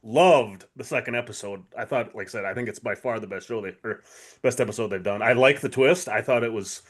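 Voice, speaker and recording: A male podcast co-host speaks in relaxed everyday conversation. His pitch is average for a man, and his wording is informal, loose and a little sweary. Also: fairly quick. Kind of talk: casual chat.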